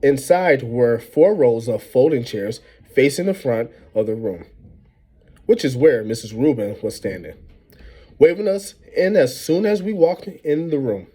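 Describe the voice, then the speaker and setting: A man reading a children's novel aloud in an animated way, as a storyteller acting out the dialogue. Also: mid-range voice, a little slow, breathy edge. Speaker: reader reading a book aloud.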